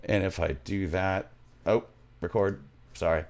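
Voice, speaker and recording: Man, middle-aged, lowish voice, informal tutorial host, thinking aloud, home studio mic. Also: steady tone, unhurried. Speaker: music production tutorial host. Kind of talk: casual software tutorial. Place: small home studio room.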